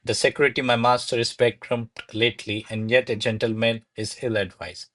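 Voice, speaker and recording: A man's voice: medium pitch, flat delivery, moderate pace, fairly bright tone, lightly acted. A man voicing a cheeky, talkative male servant with a wry, rambling manner in a radio play.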